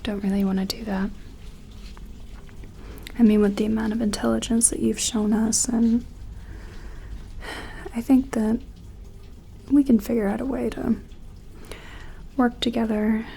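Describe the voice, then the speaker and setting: Soft, whispery woman with slow creaky delivery. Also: fairly high pitch, level tone, a little hiss or hum. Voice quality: soft, breathy, often dropping to a whisper. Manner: gentle, hesitant, reassuring. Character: gentle, careful woman scientist examining an alien. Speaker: ASMR roleplay performer. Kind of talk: soft-spoken and whispered ASMR roleplay.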